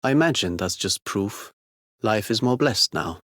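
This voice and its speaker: Calm reflective guy from a game, contented, lowish voice, dry studio sound. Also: moderate pace, no room sound.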